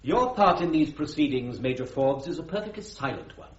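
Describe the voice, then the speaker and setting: A man's voice: a little high, lively intonation, a little fast, lightly acted. Man acting a stern British court-martial president. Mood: stern, commanding. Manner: pompous, curt, formal. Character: stern, peremptory senior officer presiding over court-martial. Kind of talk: full-cast radio drama acting. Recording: muffled, roomy radio recording.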